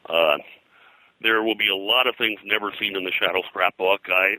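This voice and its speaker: A man's voice: lowish voice, fairly even intonation, normal speed, sharp tone, no room sound, poor audio. An older man, a guest historian, talking informally in a detailed, digressive way. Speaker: guest, pop culture historian. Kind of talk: informal interview, recounting details.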